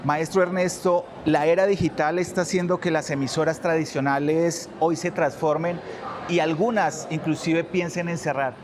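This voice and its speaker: A Colombian man with a fairly high voice works as an interviewer. He speaks formally and respectfully. Clear street noise runs behind his voice. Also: fairly even intonation, moderate pace. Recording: clear outdoor traffic noise.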